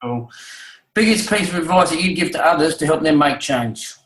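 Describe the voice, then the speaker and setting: A man's voice: a little high, lively intonation, normal speed, a bit bright. A man interviewing casually, complimentary and a little hesitant as he phrases things. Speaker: guest interviewer. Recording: roomy, echoing sound.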